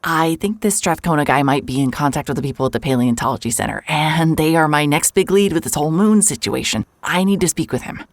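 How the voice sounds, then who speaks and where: Female PI lead for horror audio drama: very deep voice, hard-hearted, wry, guarded. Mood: wary, insistent. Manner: wry, descriptive, halting when cornered. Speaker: narrator and lead character. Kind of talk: first-person noir narration and audio drama dialogue.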